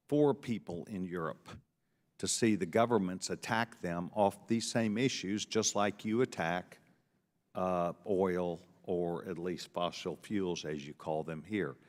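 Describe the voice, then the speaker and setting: Man, very low voice, pressing questions, hearing room with long reverb. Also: a little mellow. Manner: pressing, interrupting, repeats himself. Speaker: congressman questioning witnesses.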